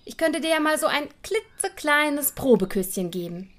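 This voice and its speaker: A woman's voice: high voice, very animated, moderate pace, fairly bright tone. A woman playing a bold, curious young princess in a fairytale radio play, with a breezy, matter-of-fact manner.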